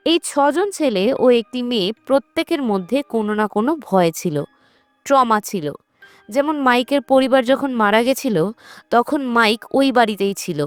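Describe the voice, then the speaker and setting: A woman's voice: fairly high pitch, no echo. A woman narrates a scripted horror film recap in a storytelling style, as a voice-over. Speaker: narrator of a movie recap channel. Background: background music.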